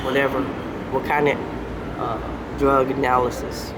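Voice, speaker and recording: A woman in her fifties from the San Francisco Bay Area, talking earnestly and haltingly, with many fillers, in a street interview. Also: very deep voice, little pitch movement. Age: 50s. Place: city street outdoors. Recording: loud street traffic noise.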